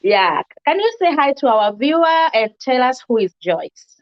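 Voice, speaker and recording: Woman host, casual interview, fairly high voice, slightly muffled lo-fi sound. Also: very animated, moderate pace.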